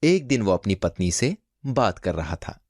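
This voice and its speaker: A man's voice: fairly low pitch, very animated, a little slow, darkish tone, no room sound, studio quality. A man narrating a scripted children's folk tale as a storyteller.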